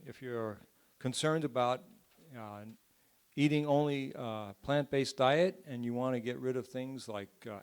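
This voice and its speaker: A man's voice: medium pitch, darkish tone. A man hosting a church health seminar, recommending a cookbook in a chatty way with filler words. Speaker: seminar host. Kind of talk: informal presentation at a church seminar. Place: church hall.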